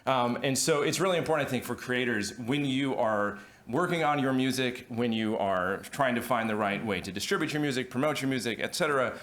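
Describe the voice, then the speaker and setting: Guy lawyer talking on a conference panel, mid pitch, lots of ums and uhs and restarts. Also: a little fast, few pauses, fairly bright tone. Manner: hesitant, many ums and restarts, self-deprecating. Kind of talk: panel talk.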